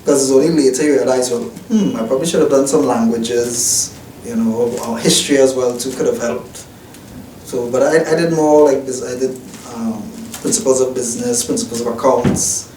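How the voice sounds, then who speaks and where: A man's voice: medium pitch, normal speed, mellow. Man, young adult, hesitant reflective talk, distant mic. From Trinidad and Tobago. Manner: hesitant, many restarts and "you know" fillers. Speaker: newspaper reporter. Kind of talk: reflective interview answers. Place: newspaper office. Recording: roomy, distant mic, faint noise.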